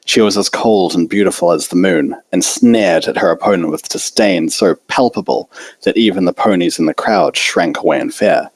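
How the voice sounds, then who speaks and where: A man's voice: low voice, normal speed, slightly rough, no echo. A man reading fan fiction aloud as an amateur story narrator.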